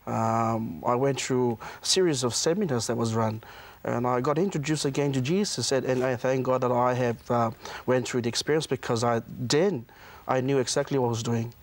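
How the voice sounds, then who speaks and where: A man's voice: medium pitch, normal speed. A man, a pastor being interviewed in a studio, speaking hesitantly with many restarts. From Samoa. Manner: hesitant, many restarts and fillers, soft-spoken.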